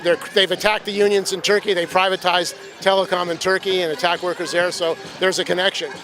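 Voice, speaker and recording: A man's voice: sits high, little pitch movement, brisk, sharp tone, soft background music. Hesitant man interviewing on the street. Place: outdoor street rally.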